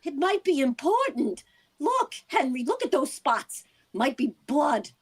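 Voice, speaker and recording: A woman's voice: sits high, big pitch swings, unhurried. A woman playing a concerned, persistent housewife in a vintage-style radio play, earnest and inquisitive. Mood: worried, insistent. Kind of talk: vintage radio drama acting.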